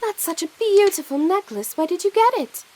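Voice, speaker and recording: A woman playing a polite, earnest young girl in an audio play, speaking gently and sincerely. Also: very high-pitched, animated, normal speed. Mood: curious, gentle.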